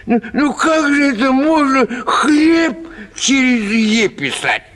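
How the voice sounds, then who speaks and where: A man's voice: extremely high pitch, very animated, slow pace, a bit bright, full character voice. An actor in a radio play voicing a meek, flustered, easily offended man with a hesitant stammer. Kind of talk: theatrical radio play acting of a classic short story.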